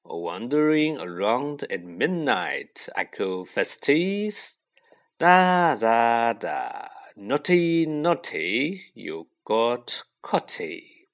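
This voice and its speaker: A man voicing a mischievous poltergeist in a storybook, taunting in a drawn-out, gleeful sing-song. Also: mid-range voice, sing-song, full character voice.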